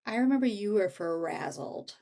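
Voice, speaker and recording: A woman's voice: medium pitch, expressive, normal speed, vocal fry, a crowd in the background. A woman reminiscing casually as a guest, with a wry, conversational way of telling things. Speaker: author and guest.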